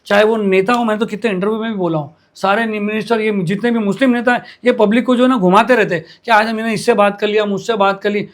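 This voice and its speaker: A man's voice: high-pitched, steady tone, fast, few pauses. A man interviewing for a news channel, arguing insistently, cutting in and repeating phrases for emphasis. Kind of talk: animated news interview, arguing his point.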